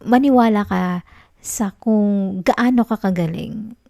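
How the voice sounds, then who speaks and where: Woman playing a clingy young filipino gf in an asmr roleplay, soft n pleading, bit of fry, super dry close sound. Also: mid-range voice, no echo. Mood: reassuring, tender. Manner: soft, coaxing, halting, affectionate. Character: clingy, tender young student girlfriend, anxious and pleading. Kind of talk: intimate ASMR roleplay addressed to the listener.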